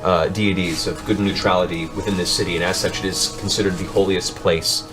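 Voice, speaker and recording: A game master narrates a tabletop fantasy in a low male voice. He builds scenes with descriptive, dramatic storytelling while music plays clearly underneath. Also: little pitch movement, brisk, rough recording.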